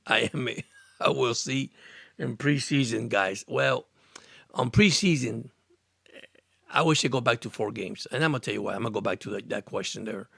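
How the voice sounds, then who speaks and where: Gravelly-voiced man near sixty, rambling. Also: medium pitch, moderate pace, pauses often, a bit bright, no echo, clean studio sound. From Cuba, raised in Miami. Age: about 60. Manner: rambling, repeats words and restarts, earnest. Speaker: live stream sports host. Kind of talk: casual live stream chat, reading viewer comments. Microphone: studio microphone.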